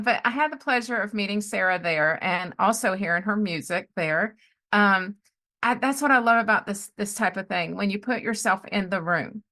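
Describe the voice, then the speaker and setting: A woman with a bright, forward voice at an average female pitch. She hosts a casual interview and talks in an effusive, encouraging way. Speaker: podcast host, life coach.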